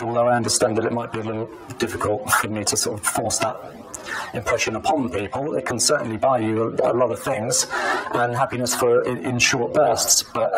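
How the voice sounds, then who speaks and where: A British man answering interview questions in a measured, halting way, repeating words, his voice pitch-altered to disguise it. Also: poor audio. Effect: pitch-shifted disguised voice. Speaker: anonymous professional footballer being interviewed.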